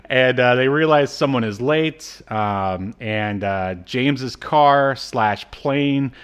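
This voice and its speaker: A man's voice: mid-range voice, slow, clean tone. Wry man chatting on a podcast. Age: 30s to 40s. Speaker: podcast co-host. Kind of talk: casual chat recapping a cartoon.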